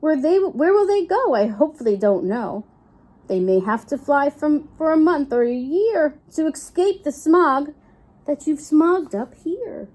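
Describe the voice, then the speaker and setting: A woman's voice: high-pitched, expressive, a little slow, darkish tone, pitched above the performer's own voice. A woman voicing a grumpy old storybook creature who scolds indignantly and snappishly in emphatic rhyme. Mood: sorrowful scolding. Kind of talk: children's storybook character read aloud.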